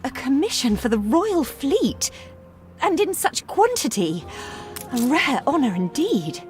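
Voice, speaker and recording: An actress playing an earnest, devoted young sister and adviser in a video game. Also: female voice, high voice, big pitch swings, measured pace, faint music behind. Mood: fervent.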